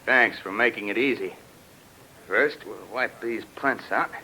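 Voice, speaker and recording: An actor playing a cold, gloating murderer with a menacing, tough-talking manner, in 1940s radio crime drama style. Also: male voice, on the low side, measured pace, very noisy, lightly acted. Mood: gloating threat. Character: cold, gloating murderer holding the detective at gunpoint. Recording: old radio broadcast recording.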